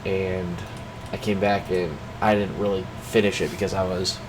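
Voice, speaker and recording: A man's voice: low-pitched, little pitch movement, moderate pace, noisy background. A young man with a stuffed-up nose, speaking off the cuff in a casual daily check-in and rambling with lots of fillers and restarts. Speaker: vlogger.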